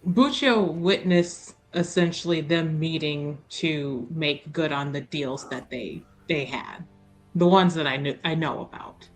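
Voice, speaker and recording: A woman's voice: sits low, measured pace, faint music behind. A woman chatting casually and explaining things emphatically as a player in a tabletop roleplaying game.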